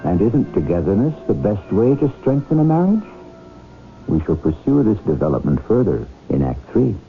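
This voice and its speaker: A man with a deep voice narrates a vintage radio drama, theatrical and wry, with lively swings of pitch. Music plays behind him in a tinny broadcast recording. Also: normal speed, vocal fry. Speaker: host and narrator.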